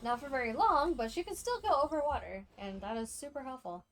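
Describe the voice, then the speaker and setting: Higher-voiced woman chatting on a game stream. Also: lively intonation, fairly quick, low-quality recording. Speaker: game livestreamer. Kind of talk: casual chatty gameplay commentary to stream viewers.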